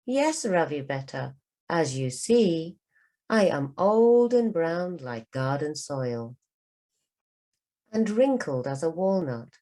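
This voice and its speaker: Woman as old storybook grandfather, fond, good-humoured, unhurried, expressive lilt. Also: deep voice.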